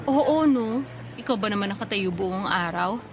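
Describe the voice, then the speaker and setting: A woman's voice: medium pitch, lively intonation, moderate pace, crowd noise, noisy background, close to an everyday voice. A Filipino woman acting a young working girlfriend in a radio romance drama, coy and playfully skeptical. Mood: friendly, coy. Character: tired, doubtful, teasing young working girlfriend.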